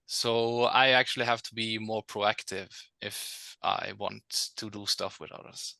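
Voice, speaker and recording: A man's voice: on the low side, fairly even intonation, a little slow, creaky, bright, forceful tone, no room sound. A man with a Norwegian accent whose native language is Norwegian, speaking hesitantly with many fillers as a podcast guest. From Norway.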